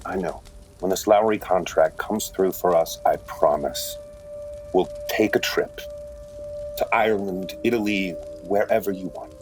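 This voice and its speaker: Middle-aged male for audio drama father: deep, measured, protective husband type. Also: soft background music, rough recording. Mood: tender, teasing.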